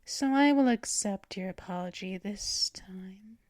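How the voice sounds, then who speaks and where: A woman voicing a possessive female vampire mistress in an ASMR roleplay, talking in soft, teasing whispers up close to the mic. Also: mid-range voice, no room sound, lightly acted. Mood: soothing. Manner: slow, drawn-out delivery.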